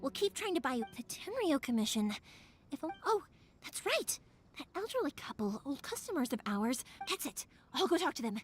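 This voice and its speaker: A woman's voice: sits high, sing-song, moderate pace. A woman voicing a breezy, upbeat, chatty young fireworks maker in a video game. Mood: reassuring. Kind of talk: video game character dialogue.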